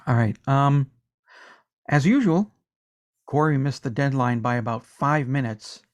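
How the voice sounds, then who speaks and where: A man's voice: mid-range voice, a little slow. A man co-hosting a casual music podcast, joking around and reading out listener comments. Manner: jokey, reads listener comments aloud. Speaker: podcast co-host.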